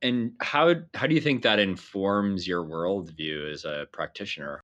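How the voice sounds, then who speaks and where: A man hosting a leadership podcast, reading a scripted intro and then interviewing a guest in a measured, friendly way. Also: deep voice, fairly bright tone. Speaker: podcast host and executive coach.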